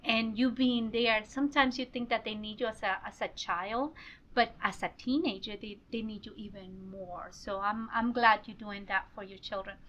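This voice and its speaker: Woman, show host, friendly chatty talk, bright tense voice, higher pitch, slightly dull lo-fi sound. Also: fairly even intonation, normal speed. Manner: friendly, chatty, laughs often. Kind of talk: casual interview chat. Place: online show interview.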